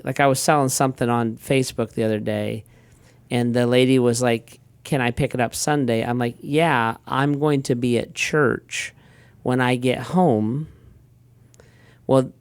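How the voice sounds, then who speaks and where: A middle-aged man, a pastor, telling everyday stories in a relaxed chatty way with frequent restarts. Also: medium pitch, measured pace, clean tone, no room sound. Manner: relaxed storyteller, frequent restarts and quoted asides. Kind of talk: casual conversational talk.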